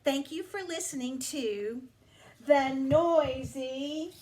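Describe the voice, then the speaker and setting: A woman reading a story aloud for children in a deliberate, teacherly way. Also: high voice, a little mellow, roomy sound. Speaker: storybook reader. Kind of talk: read-aloud narration for children.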